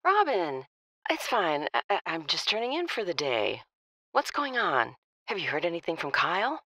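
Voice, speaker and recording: Weary middle-aged mother, worried, motherly, hesitant, bright strained voice, animated pitch, fiction podcast. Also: moderate pace, dry recording, studio quality, full character voice. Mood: weary, guarded. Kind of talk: audio drama phone conversation.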